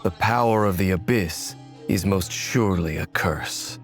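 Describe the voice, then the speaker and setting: Brooding tired warrior, low and slow, very solemn, game dialogue w soft music. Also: male voice. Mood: somber. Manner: solemn, deliberate. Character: brooding, weary, stoic wandering warrior. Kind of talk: anime game voice acting.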